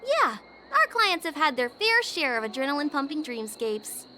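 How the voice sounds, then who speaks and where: A woman voicing a brisk, practical traveling merchant in a game. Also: high-pitched, very animated, noisy background. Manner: brisk and matter-of-fact. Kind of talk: game voice acting. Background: game soundtrack music.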